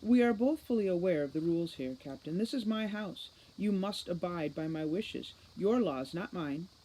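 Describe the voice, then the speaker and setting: A man's voice: sits high, expressive, moderate pace, dark tone, slight background noise, poor audio. A man voicing a smug, cold, precocious boy criminal mastermind in an audiobook, with formal, sardonic condescension. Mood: smug menace. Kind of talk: audiobook character reading.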